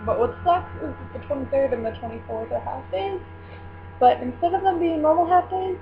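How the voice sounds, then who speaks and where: A teenage girl rambles casually to her audience, full of fillers and quick self-corrections. Her voice sits a little high and comes through thin and tinny. A steady electrical hum runs underneath. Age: teens. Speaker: vlogger running a book club.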